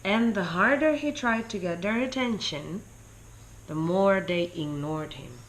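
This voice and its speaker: A woman reading a children's picture book aloud in a deliberate, expressive storytelling way. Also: fairly low pitch, low-quality recording. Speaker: story reader.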